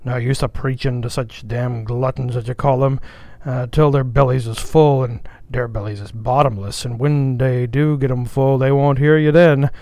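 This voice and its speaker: Storybook role: man playing a grumbling cook of ninety, folksy speech, sullen and tired, medium pitch. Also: steady tone, normal speed, mellow, no room sound, close to an everyday voice. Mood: preaching, weary. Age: 90s. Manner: folksy dialect, grumbling and muttering. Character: sullen ninety-year-old ship's cook, grumbling and weary. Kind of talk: audiobook character voice.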